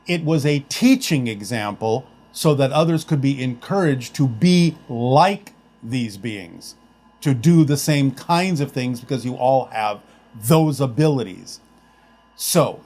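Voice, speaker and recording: A man speaking as a channeled spirit guide, answering audience questions in a measured, formal manner and calling himself "we". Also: medium pitch, darkish tone. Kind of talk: spoken Q&A session.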